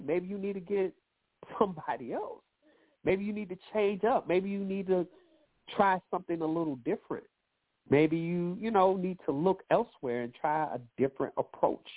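Profane male radio host on a tinny line. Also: fairly high pitch. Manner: loose, profane, preachy advice to listeners. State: nasal and wheezy from allergies. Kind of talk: casual call-in radio advice talk. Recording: phone-like lo-fi line.

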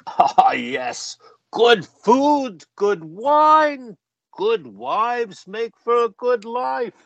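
An actor in a radio play playing a genteel, self-satisfied member of Parliament with old-fashioned, pompous phrasing. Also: male voice, very high voice, lively intonation, extremely slow, gravelly, fairly bright tone, no echo, dull audio, heavily acted. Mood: jovial, hearty.

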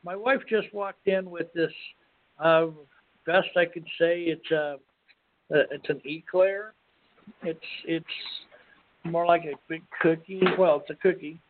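Higher-voiced man hosting over tinny line. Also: steady tone, dry recording. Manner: halting, rambling, self-deprecating. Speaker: radio show host. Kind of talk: casual chat. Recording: phone-like, band-limited web radio audio.

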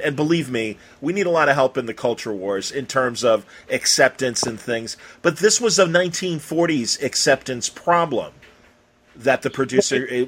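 A man's voice: medium pitch, a bit bright, husky. An American man from Chicago interviewing someone in a casual, informal way, musing and rambling as he goes. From Chicago, United States.

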